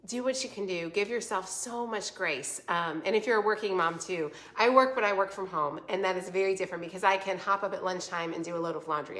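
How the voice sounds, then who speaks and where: A woman's voice: lowish voice, fairly bright tone. A woman in her forties with a congested voice, chatting casually and encouragingly to viewers as a livestream host. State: stuffy, congested voice from a cold. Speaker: vlog host selling a home planner. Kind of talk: casual livestream chat, answering viewer comments.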